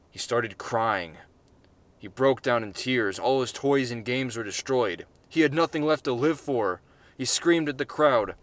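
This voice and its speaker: A man's voice: medium pitch, fairly bright tone. A man reading his own short story aloud, casually, with swearing and self-corrections.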